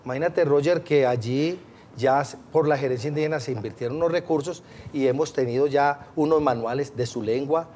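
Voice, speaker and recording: A man's voice: a little high, fairly quick, a little mellow, a little hiss or hum. A man explaining things in an interview, friendly and speaking straight to the host. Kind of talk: interview answers.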